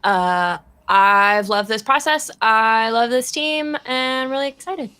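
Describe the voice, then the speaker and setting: A woman's voice: on the high side, takes it slowly, bright, forceful tone, clean tone. A woman co-hosting a live stream over a video call, chatting in an informal, earnest way. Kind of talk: casual live chat.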